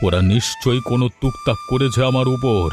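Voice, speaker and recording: A man's voice: mid-range voice, over music, close to an everyday voice. A man doing a fretful, greedy village headman. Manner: quick, anxious, demanding. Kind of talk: cartoon voice acting.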